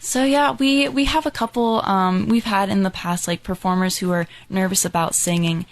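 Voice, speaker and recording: A woman's voice: mid-range voice, fairly even intonation. A young adult woman, a musical's director and composer, chatting upbeat in an interview with many fillers. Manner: upbeat, quick, with many "like" and "you know" fillers. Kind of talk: casual interview chat.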